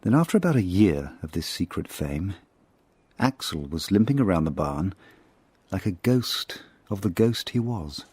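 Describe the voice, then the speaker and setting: A guarded British spy looking back on his life in a radio play. The voice is deep and rough-edged, recorded close and dry. He tells it in a measured, understated, literate way. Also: very animated, darkish tone, no room sound, full character voice. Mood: dry, confiding. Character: reflective, guarded British intelligence officer recounting his past. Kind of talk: radio drama narration and dialogue.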